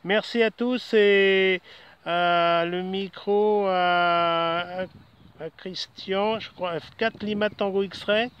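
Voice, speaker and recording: A man's voice: high-pitched, steady tone, takes it slowly, a bit bright, smooth, dull audio. A man with a French accent from France, chatting casually as an amateur radio operator outdoors. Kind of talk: casual ham radio contact. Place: outdoors in a park.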